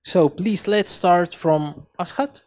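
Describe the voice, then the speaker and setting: Male panel moderator, high voice, slow and halting, handheld mic on a conference stage. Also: darkish tone, dry recording, studio quality. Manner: hesitant, halting, many restarts. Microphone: handheld microphone.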